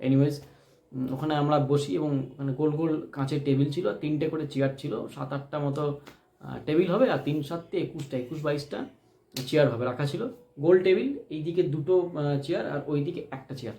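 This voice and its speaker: Guy from india telling his own story, bit high voice, roomy echo, emphatic. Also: moderate pace, creaky, dark tone. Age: adult, married. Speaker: vlogger telling a personal experience. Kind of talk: unscripted storytelling to camera. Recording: echoey room.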